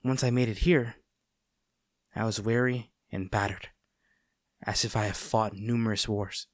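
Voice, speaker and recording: A man playing a conflicted heroic captor in a dramatic audio roleplay, earnest and halting, tender toward an old friend turned enemy. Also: fairly low pitch, steady tone, dry recording, studio quality, close to an everyday voice. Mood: weary, earnest. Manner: earnest, halting, formal wording with dramatic pauses.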